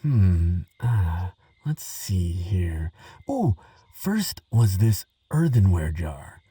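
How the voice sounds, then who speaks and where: A man playing an earnest, excitable archaeologist who talks gently to a friend, stammering and musing with wonder, in a relaxing audio roleplay. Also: low-pitched, drawn-out words, slightly rough, dry recording, distant mic, heavily acted. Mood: eager, fascinated. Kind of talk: relaxing scripted audio roleplay, spoken to the listener.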